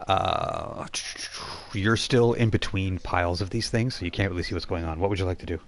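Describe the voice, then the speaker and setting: A man narrating a live tabletop role-playing game as game master, improvising scenes and haltingly searching for words. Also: deep voice, slight background noise.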